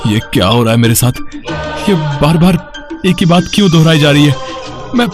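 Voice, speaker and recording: A man's voice: fairly high pitch, expressive, moderate pace, over music, natural voice. An actor playing a frightened, bewildered man haunted by a witch in a horror storybook tale, repeating his words in panicky stammers. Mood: panicked.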